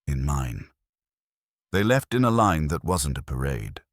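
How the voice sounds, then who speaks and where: A man's voice: extremely low pitch, very animated, normal speed, dry recording, clean studio sound. A man reading scripted first-person detective fiction as a narrator. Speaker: audiobook narrator.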